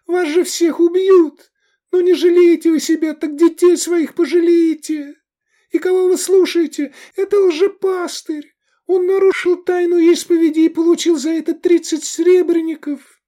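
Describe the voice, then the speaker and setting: Male narrator as a young woman, very high voice, passionate, defiant, pleading, emotional, storybook acting. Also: flat delivery, normal speed, dark tone. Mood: desperate, accusing. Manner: crying out, emotional.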